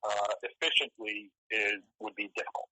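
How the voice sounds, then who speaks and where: Very sharp, bright-voiced male phone rep. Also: on the low side, steady tone, moderate pace, dry recording. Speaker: brokerage phone representative. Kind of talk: explanatory customer service phone talk. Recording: dry recorded phone call.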